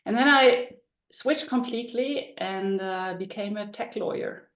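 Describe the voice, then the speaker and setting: A woman's voice: medium pitch, a little slow, a bit bright. A middle-aged woman from northern Germany with a German accent, a guest in a casual interview, talking thoughtfully with many ums and restarts. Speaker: guest, business coach. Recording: echoey dull-sounding room.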